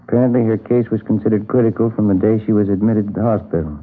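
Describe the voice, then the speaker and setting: An American actor playing a plain-spoken, musing police detective in a 1950s radio crime drama, in a conversational manner. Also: male voice, fairly low pitch, fast, lightly acted. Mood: official, reading. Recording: muffled, narrow-band vintage radio recording.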